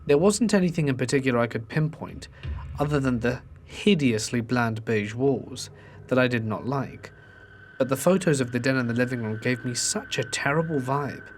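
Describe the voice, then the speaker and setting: Male narrator for spooky true stories, mid-pitched, steady reading, faint hiss behind. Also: moderate pace. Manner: steady storytelling delivery, reading submitted accounts.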